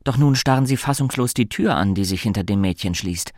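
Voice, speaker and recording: A man's voice: on the low side, lively intonation, brisk, a little mellow, dry recording. A man reading scripted dramatic narration for a radio play. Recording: studio narration over clear music. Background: music.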